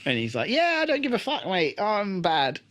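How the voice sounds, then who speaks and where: A man's voice: sits high, moderate pace. A man co-hosting a podcast in casual banter, recapping a TV show, swearing and acting out the lines he quotes. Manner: animated, sweary, acts out quotes. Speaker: podcast co-host.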